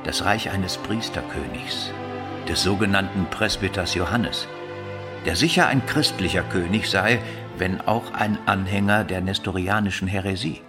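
An actor playing a shrewd, learned older bishop in a radio drama, speaking in a measured, didactic way. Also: male voice, low voice. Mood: solemn telling.

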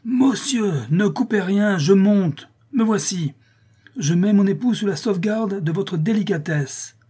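A melodramatic old male porter acted out by a male reader, grandly dignified and easily scandalized. His storybook speeches are formal and exclamatory, in a husky, mellow voice. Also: medium pitch, moderate pace, close to an everyday voice. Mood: outraged, frantic.

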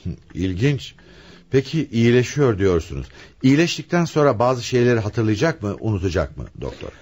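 Homicide commissioner, radio drama, husky voice, very lively intonation, emphatic, probing, authoritative. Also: male voice, mid-range voice, brisk, dull audio. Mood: probing, businesslike. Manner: emphatic, dramatic, questioning delivery. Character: commanding male police homicide commissioner, probing and theatrical. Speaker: actor in a radio play. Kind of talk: Turkish radio theatre acting.

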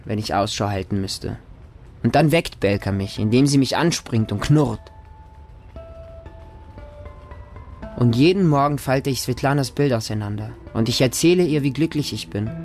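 A man's voice: medium pitch, a little mellow, natural voice. A man playing a watchful, trusting homeless boy in a radio drama monologue, speaking in simple, childlike short sentences. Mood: tender, hopeful. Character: homeless street boy living with a pack of dogs, watchful, trusting, defiant.

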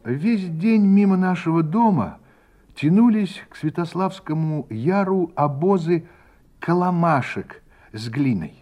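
A man's voice: fairly high pitch, animated, a little slow, mellow, lo-fi sound. A man narrating a memoir radio play in a reflective storytelling manner. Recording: radio play with faint music.

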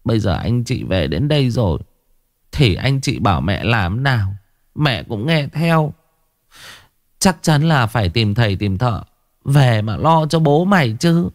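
A man's voice: medium pitch, sing-song, unhurried, no room sound, studio quality. A man voicing a frightened old village wife who pleads and laments. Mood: anxious pleading.

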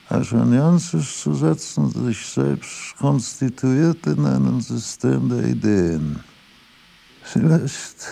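An actor playing an aged philosopher who muses aloud in a radio drama, halting and drifting from thought to thought. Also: male voice, medium pitch, creaky, mellow, exaggerated voice. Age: older. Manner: halting, digressive, musing aloud. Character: aged philosopher Kant, rambling through notes and household trifles.

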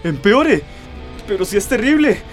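An actor playing a panicky, melodramatic young hypochondriac boyfriend in a radio drama, stammering and whining. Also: male voice, sits high, sing-song, unhurried, soft background music. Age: young adult.

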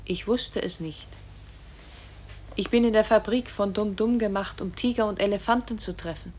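A woman voicing a remorseful iron bullet in a radio play, soft, tender and solemn, pleading for forgiveness. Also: mid-range voice, normal speed, lightly acted. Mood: apologetic.

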